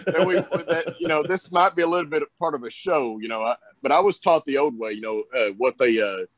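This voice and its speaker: A man's voice: a little high, a little fast, no echo. Young man, Southern drawl, talks in loose rambling bursts full of "you know," recorded very dry and close. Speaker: pro wrestler guest. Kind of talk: casual interview storytelling.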